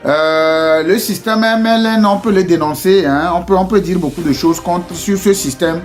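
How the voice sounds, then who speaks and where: A man's voice: high voice, normal speed, faint music behind. Man with Togolese French accent, earnest. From Togo. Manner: hesitant, many "euh" fillers and restarts, rising to earnest rhetorical questions. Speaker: entrepreneur addressing his community. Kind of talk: casual motivational talk.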